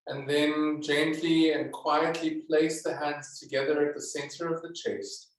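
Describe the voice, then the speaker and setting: A man teaching yoga and guiding meditation in a calm, deliberate manner with many pauses. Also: a little high. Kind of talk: guided yoga and meditation instruction. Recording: echoey, roomy sound.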